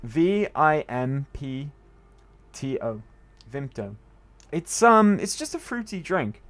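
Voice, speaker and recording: A man's voice: a little high, very animated, slow pace, fairly bright tone, soft background music. A man from Wales with a Welsh accent, chatting casually to his viewers while streaming a game. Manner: rambling, chatty, answers chat. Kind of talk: casual gaming stream chat.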